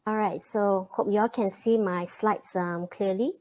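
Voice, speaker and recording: A woman from Malaysia, hosting a live programming webinar, chatty and friendly, with frequent ums and pauses. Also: mid-range voice, steady tone, a little mellow. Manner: friendly, many fillers and pauses. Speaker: webinar host and programming teacher. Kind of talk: live online webinar greeting and intro.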